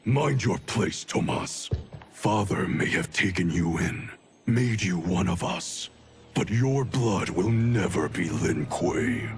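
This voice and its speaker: A man's voice: low-pitched, expressive, slow. A man playing a proud, domineering ninja clan leader in a video game, curt and scornful in manner. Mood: impatient, scornful. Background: cinematic music.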